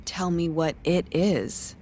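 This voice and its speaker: An actress playing a cool, reserved young woman in an English-dubbed video game cutscene, terse and deadpan with a creaky voice. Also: on the low side, takes it slowly, slight background noise. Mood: reserved, questioning.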